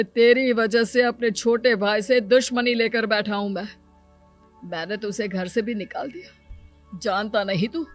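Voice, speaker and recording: A woman's voice: medium pitch, natural voice. A woman voicing a stern, authoritative elder brother and father who lectures, in a cartoon moral story.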